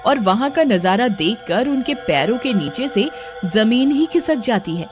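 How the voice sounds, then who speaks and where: Woman storyteller reading a scripted tale, music playing clearly behind her. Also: a little high, lively intonation, a little slow. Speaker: story narrator.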